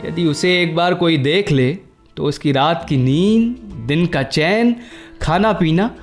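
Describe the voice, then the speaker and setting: Vain, high-voiced young charmer. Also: male voice, slow pace, faint music behind. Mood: boastful. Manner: flamboyant, jokey, self-satisfied, drops English phrases. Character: vain, teasing, lovesick handsome young man. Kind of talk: Hindi radio play acting.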